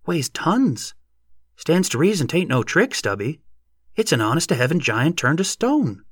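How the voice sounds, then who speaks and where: Man acting a high-voiced, excitable country neighbor. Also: measured pace, breathy edge, no room sound, studio quality, exaggerated voice. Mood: earnest. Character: earnest, excitable male country neighbor in a crowd.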